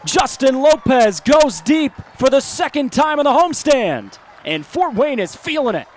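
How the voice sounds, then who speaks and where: A man's voice: extremely high pitch, big pitch swings, a little slow, a faint crowd, a little hiss or hum. A man with an American accent calling baseball play-by-play as a live broadcast commentator. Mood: excited.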